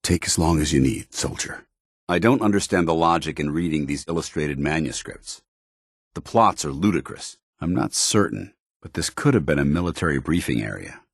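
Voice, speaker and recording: An actor playing a stern, principled, by-the-book soldier in a video game, with formal, clipped, commanding delivery. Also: male voice, extremely low pitch, animated, moderate pace, husky, no room sound, clean studio sound, full character voice. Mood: grave, observant. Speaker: video game companion character.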